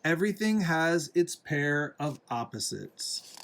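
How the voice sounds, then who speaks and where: A man, a father, reading a picture book aloud to a young child in a deliberate, gently explaining, instructive way. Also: a little high, lively intonation. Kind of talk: read-aloud storybook narration with asides to a young child.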